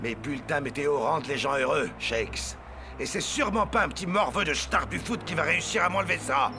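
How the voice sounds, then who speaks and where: A man's voice: on the high side, brisk, lightly acted. An actor voicing a vain, scheming, melodramatic TV weatherman villain in a French cartoon dub. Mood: smug. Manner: pompous, theatrical. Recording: music behind the voice.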